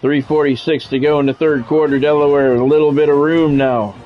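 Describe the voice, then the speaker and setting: A man calls a football video game play-by-play in a practiced radio announcer's cadence, his voice sitting a bit high for a man. The stream audio is slightly muffled, with faint game music underneath. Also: level tone, a little fast. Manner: switches between broadcast calls and chatting with viewers; announcer cadence, builds up on big plays. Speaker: gaming streamer doing play-by-play.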